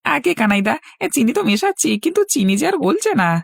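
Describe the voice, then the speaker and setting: Guy doing a meek young cartoon sidekick, high creaky voice, hesitant n eager to obey, dry sound. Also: very animated, quick pace, dry recording, exaggerated voice. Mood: meek, flustered. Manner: hesitant, deferential. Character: timid, obedient young male helper to a cheat. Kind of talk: cartoon voice acting.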